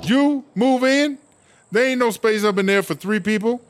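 A man's voice: on the high side, unhurried, dry recording, lightly acted. A man playing a boastful, slangy female inmate who raps, with swaggering, profane talk, in a comedy audio drama. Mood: combative. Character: brash, boastful female prison inmate and rapper. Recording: music behind the voice.

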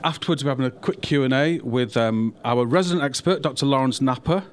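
A man's voice: medium pitch, brisk, slight background noise. A man hosting a podcast and film event with wry, self-deprecating humour. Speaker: podcast host.

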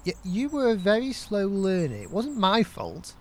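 A man plays a bossy, excitable young lad in an audio drama. His highish voice moves around a lot as he teases and dodges blame, with some background noise on the recording. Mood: breezy, evasive. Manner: teasing, quick to wriggle out of blame. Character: cheeky, bossy, excitable young male schoolmate on an outing.

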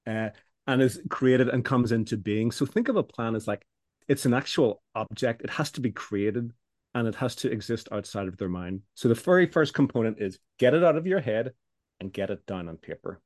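A middle-aged man, a business coach, answering interview questions in a measured, explanatory way with frequent restarts. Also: mid-range voice, darkish tone, no room sound, studio quality. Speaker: business coach for law firms. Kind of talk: podcast interview guest.

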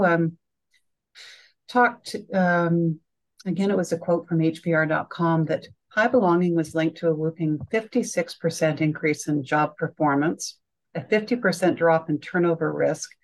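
A woman's voice: low voice, normal speed, poor audio. A woman hosting a conversational interview in a reflective, affirming way. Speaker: interview host.

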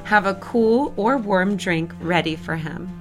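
Woman playing prim 1950s housewife advisor, measured, proper, submissive cheer, audio drama, loud music behind. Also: medium pitch, natural voice. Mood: prim, instructive. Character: prim 1950s good-wife guide reciter, submissive cheer. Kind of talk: recited 1950s magazine advice in audio drama.

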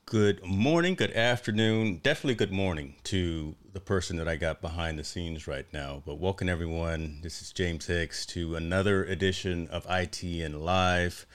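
A man's voice: deep voice, moderate pace, smooth. A man hosting a casual live interview show in a chatty way, often repeating phrases for emphasis.

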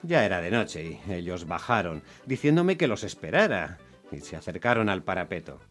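Guy playing weary put-upon cabbie telling his story, lowish voice, radio play with music under it. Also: sing-song, moderate pace, fairly bright tone. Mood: resigned narrating. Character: working-class Roman taxi driver, man, weary, put-upon, grumbling. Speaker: first-person narrator and lead actor. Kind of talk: radio drama, dramatised storytelling.

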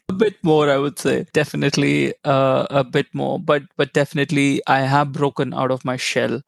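A man's voice: mid-range voice, steady tone, normal speed, vocal fry, dry recording. A man from India whose first language is Tamil, speaking as a guest in a casual interview and often repeating words as he searches for them. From India, Chennai.

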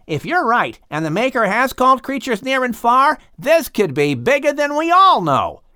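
Man voicing a high, earnest father dolphin. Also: big pitch swings, normal speed, bright, forceful tone, smooth, no room sound, studio quality, full character voice. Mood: grateful farewell. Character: grateful, earnest father dolphin, dignified and kind.

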